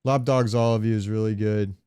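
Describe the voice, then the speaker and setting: A man's voice: on the low side, steady tone, a little fast, warm tone, clear, resonant tone. Casual, informal male podcast co-host. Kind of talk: casual gamer chat.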